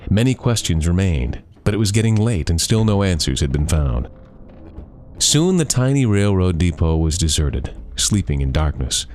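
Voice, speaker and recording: Very deep-voiced man telling a scary story. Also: darkish tone. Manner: suspenseful, deliberate dramatic phrasing. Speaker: storyteller reading own story. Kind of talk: dramatic scripted scary-story narration.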